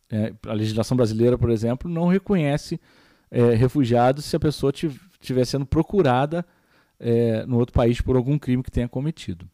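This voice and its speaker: A man's voice: a little high, dark tone, no room sound. A man with a Brazilian Portuguese accent, teaching a recorded geography lesson and explaining step by step, with many fillers and tag questions.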